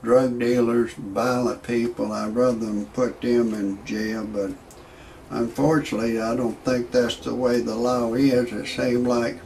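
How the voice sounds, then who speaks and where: A man's voice: mid-range voice, level tone, unhurried, darkish tone, slight background noise, rough recording. A man telling jokes and stories in a rambling comedic monologue, often stammering and restarting as he chuckles through his own material. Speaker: amateur comedian commentator.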